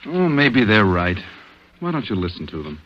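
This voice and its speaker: An actor playing a wry police detective in a 1940s radio drama. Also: male voice, on the low side, sing-song, normal speed. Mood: wry, subdued. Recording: hissy, dull vintage radio recording.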